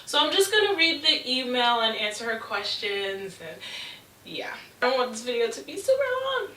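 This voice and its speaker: Young woman, bright and a little high, chatty vlogger, recorded off-mic in a roomy space. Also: very animated, moderate pace, far from the mic, slight background noise. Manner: chatty, with frequent ums and uhs. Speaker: travel vlogger. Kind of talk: casual advice vlog.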